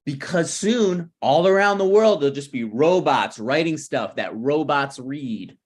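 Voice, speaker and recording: A man's voice: a little high, animated, measured pace. A man in his thirties at a script table read, playing a needy, prickly struggling screenwriter who talks in a pressing, conversational way. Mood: accusing. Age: 30s.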